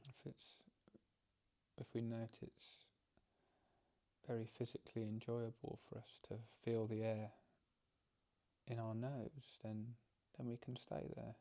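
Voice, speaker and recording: A man guides a meditation in a soft, instructive way. His voice is relaxed and mellow, with very little pitch movement. He speaks slowly and gently, pausing often and repeating phrases as he goes. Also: vocal fry. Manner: calm, gentle, halting with frequent pauses and repeated phrases. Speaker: meditation teacher.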